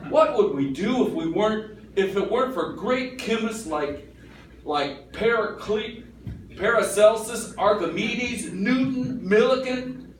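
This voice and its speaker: A man's voice: sits high, lively intonation, measured pace. A man playing a proud, easily exasperated father who explains things pompously and emphatically in an old-time radio comedy. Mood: exasperated lecturing. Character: proud, easily exasperated daddy and amateur chemist. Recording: very echoey, distant, noisy room recording.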